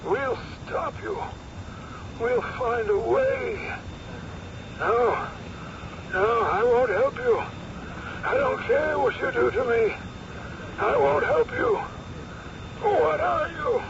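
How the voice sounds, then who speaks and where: Haunted elderly professor, very high strained voice, dragging delivery; noisy vintage radio sound. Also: male voice, sing-song, slow pace, vocal fry, long pauses, heavily acted. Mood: frantic defiance. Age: older. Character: tormented old male professor, frantic and haunted. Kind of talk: old-time radio drama acting. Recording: noisy, muffled old radio recording.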